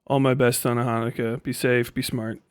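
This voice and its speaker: A man's voice: medium pitch, level tone, moderate pace, clean tone, no echo, studio quality. A man chatting casually as a live streamer, rambling and sardonic, reading things aloud and swearing offhandedly.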